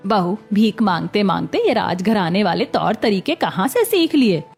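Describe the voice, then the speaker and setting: A woman's voice: medium pitch, sing-song, moderate pace, vocal fry, a little mellow, soft background music. A woman voicing a caring, concerned older mother-in-law in a cartoon story. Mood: curious. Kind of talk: Hindi cartoon story voice acting.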